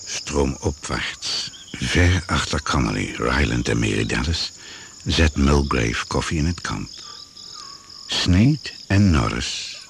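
Grave radio-play narrator: rock-bottom gravelly voice, unhurried, noisy old recording. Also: male voice, extremely low pitch, animated, full character voice. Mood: grave, atmospheric. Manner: slow, measured, clipped descriptive phrases. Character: grave, slow male narrator of a jungle survival drama. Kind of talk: scripted radio drama narration.

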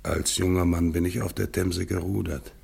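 An actor playing an exhausted, solemn polar expedition leader, reminiscing haltingly in a radio drama. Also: male voice, sits low, flat delivery, vocal fry, a little mellow, slightly rough, full character voice. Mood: solemn, longing. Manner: halting, brooding, incantatory. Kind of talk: German radio play acting, dramatic monologue.

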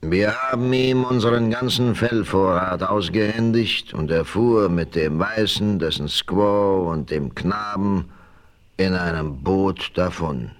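A deep-voiced vengeful chief. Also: male voice, takes it slowly, crowd noise. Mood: grave. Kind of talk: 1970s radio play acting.

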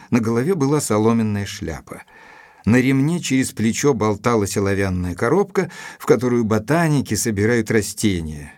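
Audio drama lead: man playing a guarded gentleman investigator telling his own story, medium pitch, literary, formal, no room sound. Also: dry recording, clean studio sound, close to an everyday voice. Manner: measured, literary, formally courteous. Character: Doctor's first-person narrator, earnest, guarded gentleman investigator. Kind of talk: audio drama narration and dialogue.

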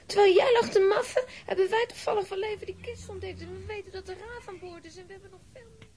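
A woman's voice: very high voice, fairly even intonation, fast, a little mellow. A woman playing a plucky, curious, easily frightened little girl in a children's audio drama, with a dramatic, exclamatory delivery. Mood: cheeky.

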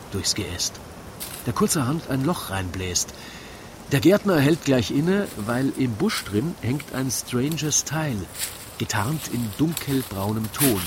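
A man with an Austrian accent narrates a scripted radio play in rhyming verse, in a wry, deliberate, theatrical way. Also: medium pitch, noisy background.

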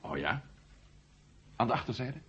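A man's voice: medium pitch, very animated, unhurried, a bit bright, husky, heavily acted. An actor playing a confident, provocative former astronaut who argues his case point by point in a radio drama. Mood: probing, confident. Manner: argumentative, rhetorical, building his case point by point. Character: outspoken ex-astronaut turned maverick writer, male, confident and provocative. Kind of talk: 1970 Dutch radio drama acting. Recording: muffled old radio drama tape.

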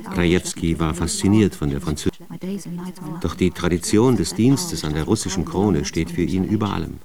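A man narrating a radio drama in a formal, documentary manner, announcing like an official reader of records. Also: low voice. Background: music.

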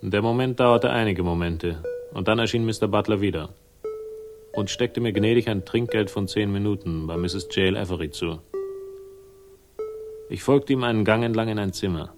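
An actor playing a weary, wry sleuth who narrates a 1960s German radio crime drama in a laconic, dryly sardonic way. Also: male voice, fairly low pitch, normal speed, music in the background, lightly acted. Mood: wry, melancholy.

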